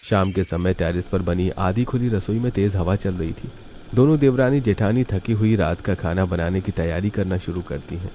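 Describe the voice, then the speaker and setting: Male cartoon narrator. Deep and mellow, reads scripted family tale over light noise. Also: moderate pace.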